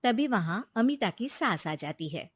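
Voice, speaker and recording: A woman's voice: mid-range voice, very animated, unhurried, breathy edge, no room sound. A woman reading a scripted moral story as its narrator.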